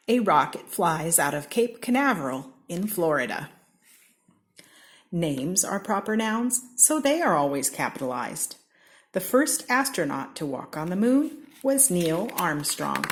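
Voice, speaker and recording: American woman, storybook reader, lively pitch, gravelly edge. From Seattle, Washington. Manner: slow, expressive read-aloud with lively pitch swings. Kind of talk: reading a children's picture book aloud.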